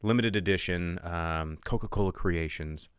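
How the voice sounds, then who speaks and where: An American man with a deep voice hosts a casual live stream. He rambles informally and cuts into his own sentences, in a close, dry recording. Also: normal speed, smooth, dry recording. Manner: rambling, informal, self-interrupting. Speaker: podcast host.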